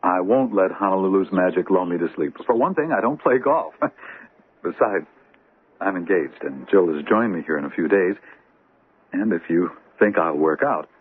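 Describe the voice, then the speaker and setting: Polite young newcomer, radio drama, earnest, low-pitched, narrow old-radio sound. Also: male voice, animated, moderate pace, slightly rough. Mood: upbeat, polite.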